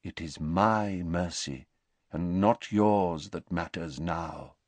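Man doing a calm, courteous old wizard. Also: low voice, no room sound, pitched below the performer's own voice. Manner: quiet, measured. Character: elderly male wizard headmaster, calm, courteous.

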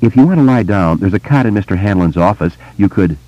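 A man voicing a polite woman librarian with a kindly, gently concerned manner in an audiobook. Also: lowish voice, animated, fast talker, no room sound, dull audio, close to an everyday voice.

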